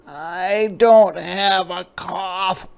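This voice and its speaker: A small, stubborn boy bear from a children's storybook, acted out by a man. The voice is pitched well up, like a reluctant sick child speaking in short bursts. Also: steady tone, extremely slow, vocal fry, heavily acted. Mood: reluctant, sickly. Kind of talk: children's storybook read-aloud.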